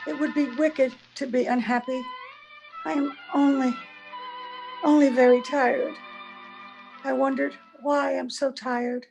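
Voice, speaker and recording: A high, creaky female voice from an actress plays a dying woman in an old-time radio drama. She is tender and blissful but worn out, speaking slowly and haltingly. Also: flat delivery, a little mellow, faint music behind, poor audio. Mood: tired, serene. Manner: halting, repeating phrases tenderly.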